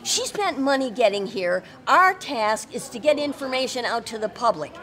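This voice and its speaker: Insistent older woman arguing loudly. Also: on the high side, expressive, moderate pace. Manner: insistent, argumentative, loud. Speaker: protester and videographer. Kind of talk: heated confrontation. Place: indoor conference venue.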